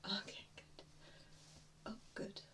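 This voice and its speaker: A woman doing an ASMR roleplay in a breathy whisper, speaking in a gentle, hesitant, reassuring way. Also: slow. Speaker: ASMR roleplayer playing an assessor. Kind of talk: ASMR roleplay, softly whispered personal attention.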